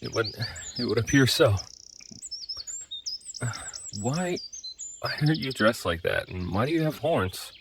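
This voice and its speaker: A man's voice: mid-range voice, expressive, a little slow, creaky, long pauses, background noise. A man playing a bewildered but level-headed man in a robot body for an audio drama. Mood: bewildered, sober.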